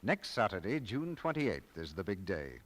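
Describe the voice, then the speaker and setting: Animated low-voiced radio announcer man. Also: normal speed. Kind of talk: 1940s radio announcing, scripted. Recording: old 1940s radio broadcast recording.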